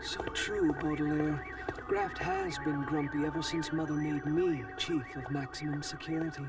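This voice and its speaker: A man's voice: on the high side, fairly even intonation, moderate pace, far from the mic, close to an everyday voice. A man playing a self-satisfied, airy cartoon villain with a languid, condescending manner. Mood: smug, airy. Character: fey, self-satisfied villain chatting to his pet.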